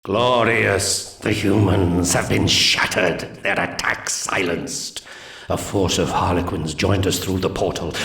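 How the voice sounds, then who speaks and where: A deep gravelly alien seer. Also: male voice, a bit bright, echoey room. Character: solemn ancient Eldar seer, male commander. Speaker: mission briefing narrator. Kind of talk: solemn game cutscene narration.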